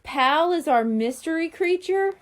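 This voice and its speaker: Woman as teasing, questioning young boy, high-pitched. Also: expressive, measured pace. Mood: skeptical.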